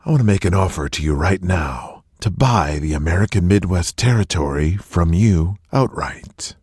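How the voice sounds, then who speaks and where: An actor playing a cold, scheming wrestling promoter who talks calmly and deliberately. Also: male voice, low voice, slightly rough, no echo, studio quality. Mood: coldly commanding. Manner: calm, menacing, deliberate.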